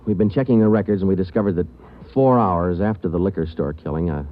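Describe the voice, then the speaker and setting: A terse, matter-of-fact police sergeant, as a man plays him in a 1940s radio drama. His voice is very low. He speaks in clipped lines, and the old broadcast sounds thin and tinny. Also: quick pace, close to an everyday voice. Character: terse male police sergeant narrating a case. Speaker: police detective and narrator.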